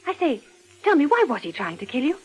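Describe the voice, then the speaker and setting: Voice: high voice, big pitch swings, a little fast, soft background music. An actor playing a cocky, inquisitive young boy who talks tough in an old radio drama. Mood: curious, eager. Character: brash eager young boy, American-movie slang, British schoolboy. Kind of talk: vintage radio drama acting. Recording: vintage radio drama recording.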